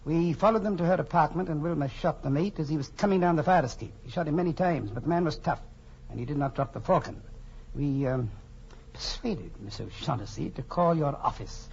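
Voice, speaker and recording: An actor playing a jovial, pompously polite but menacing crime boss in a 1940s radio drama. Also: male voice, a little high, expressive, fairly quick, darkish tone. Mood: smooth, explanatory. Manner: florid, pompous politeness with chuckling. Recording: muffled, noisy 1940s radio broadcast.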